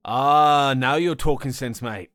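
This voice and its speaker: A man voicing a laid-back, cheerful Australian man with a long drawl, loud and jovial. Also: medium pitch, takes it slowly, no room sound, studio quality.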